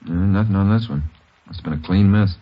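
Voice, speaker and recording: A man playing a methodical, laconic police lab chemist in an old radio drama, with a husky voice. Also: sits low, fast talker, over music, lightly acted. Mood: methodical, matter-of-fact. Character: male police crime lab chemist, methodical and matter-of-fact. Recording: dull old radio recording.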